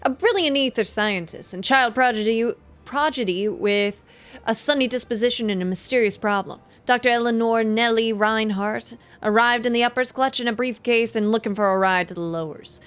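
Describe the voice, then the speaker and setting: A woman's voice: fairly high pitch, normal speed, a bit bright, smooth. Woman streaming a game, faint music behind. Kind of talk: casual livestream commentary, reading game text aloud.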